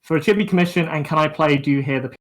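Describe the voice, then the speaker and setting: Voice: medium pitch, racing. Young streamer chatting casually. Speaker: livestream host and kazoo performer. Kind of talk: casual livestream chat.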